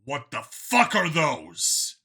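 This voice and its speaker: Casting: male narrator as hard-edged human lawman, irate and commanding, sharp tone, animated pitch, for a sci-fi story. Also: slow, breathy edge, heavily acted. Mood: furious. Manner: forceful, demanding, blunt. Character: hard angry abducted human man working as cop.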